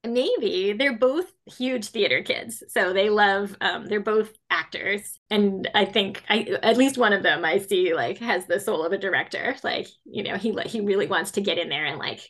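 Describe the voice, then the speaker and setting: A woman's voice: medium pitch, moderate pace, few pauses, fairly bright tone. A woman in her forties, a children's fantasy author, answering interview questions in a chatty way with many fillers and frequent laughter. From grew up mostly in Latin America.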